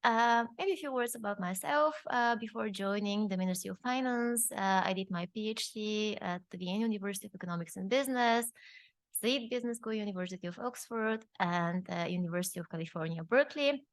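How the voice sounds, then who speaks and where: Measured woman on a conference panel, dry sound. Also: mid-range voice, dry recording. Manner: measured, formal, with frequent hesitations. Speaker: panelist, tax policy advisor.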